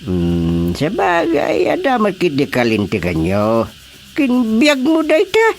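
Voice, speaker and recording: A man acting an unhurried, hesitant village chairman in a comedy radio drama, with an Ilocano accent. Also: high voice, wildly swooping pitch, gravelly, slight background noise, heavily acted.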